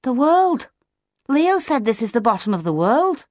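A woman voicing a curious young male penguin chick in a storybook, squeaky and insistent, often yelling his questions. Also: big pitch swings, a little slow, dry recording, clean studio sound. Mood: worried.

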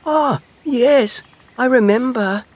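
A man voicing an eager, tender-hearted young hedgehog in a children's storybook, expressive and childlike. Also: a little high, a little slow, voice pushed up, natural voice. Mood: warm, grateful.

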